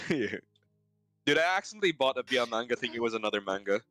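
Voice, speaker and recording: Bright-voiced, blunt guy bantering on a stream. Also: medium pitch, sing-song, brisk, dry recording, soft background music. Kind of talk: casual banter.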